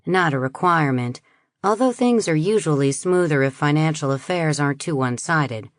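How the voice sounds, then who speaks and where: A man's voice: on the high side, animated, moderate pace, no echo, clean studio sound, close to an everyday voice. A woman voicing a stiff, prejudiced middle-aged husband who talks in a stubborn, evasive way.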